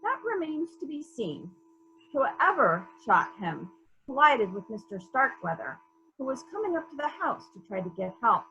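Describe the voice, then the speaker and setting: A woman playing a brisk, suspicious police investigator questioning witnesses in a dramatic murder mystery reading. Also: high-pitched, sing-song, pushed and bright, dull audio, heavily acted. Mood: suspicious, musing. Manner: probing, businesslike, sceptical. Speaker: library staff member reading a part.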